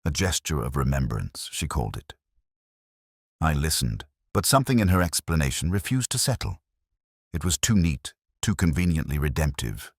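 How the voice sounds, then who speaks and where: A man reading a scripted first-person literary detective story in a measured, melancholy, reflective manner. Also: rumbling low, big pitch swings, no echo, clean studio sound.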